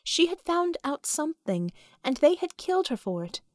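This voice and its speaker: A woman's voice: sits high, very animated, normal speed, no room sound, clean studio sound. A woman reading a story aloud as scripted audiobook narration.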